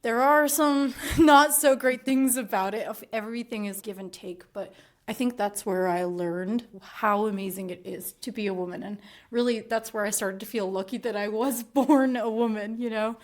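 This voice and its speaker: A woman's voice: a little high, normal speed. A young adult woman from Dubai, a guest on a podcast, talking in a casual, reflective way and laughing often. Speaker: podcast guest, school principal.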